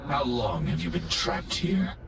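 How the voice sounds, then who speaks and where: An actor playing a grim, commanding undead knight in a video game, gravelly, stern and solemn. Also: male voice, sits low, big pitch swings, measured pace, loud music behind the voice. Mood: stern, suspicious. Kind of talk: video game character dialogue.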